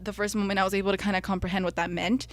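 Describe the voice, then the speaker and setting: A young woman whose first language was Spanish speaks earnestly about her own life as a podcast co-host. Her voice sits in a middle pitch, and she tells her story in a sincere, reflective way. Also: flat delivery, racing. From United States. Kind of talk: personal reflective podcast talk.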